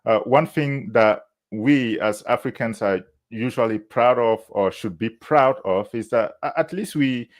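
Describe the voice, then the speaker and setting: A man from Burkina Faso, speaking English as a later language, giving measured advice as a university admissions officer, with many "uh" pauses. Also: mid-range voice. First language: not English. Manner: measured, frequent "uh" fillers, warm laughs. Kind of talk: interview answers, advice to students.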